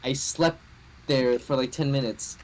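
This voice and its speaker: A man's voice: mid-range voice, steady tone, unhurried, a little mellow, poor audio. A young man co-hosting a casual podcast, telling a story in a hesitant, filler-heavy way with many restarts. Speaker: podcast co-host. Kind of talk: casual chat.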